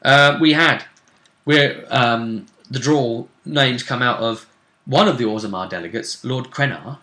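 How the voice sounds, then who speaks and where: A man commentating as host on a fantasy role-play tournament, with deliberate, halting phrasing. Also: medium pitch, pushed and bright. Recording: roomy, echoing sound.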